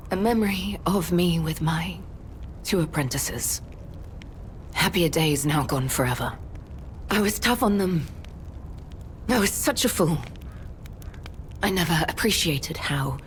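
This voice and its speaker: An actress playing a grave, guilt-ridden veteran warrior and mentor in a video game, speaking in a measured, formal way. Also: female voice, on the low side, slight background noise. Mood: sorrowful regret. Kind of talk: dramatic video game cutscene acting.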